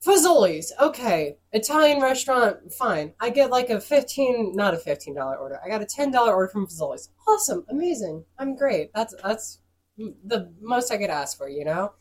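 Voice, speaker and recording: A woman's voice: mid-range voice, sing-song, normal speed. Stuffed-up woman ranting on a podcast. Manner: profane, ranting storyteller. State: stuffed-up, voice going hoarse. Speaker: podcast co-host. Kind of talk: casual podcast chat.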